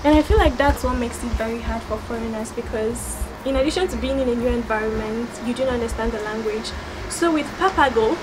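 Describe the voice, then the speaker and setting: A young woman in her twenties chatting casually while giving an outdoor campus walking tour, friendly and quick to laugh. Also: fairly high pitch, background noise. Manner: chatty, laughs often, friendly advice to viewers. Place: outdoors on a street and campus grounds.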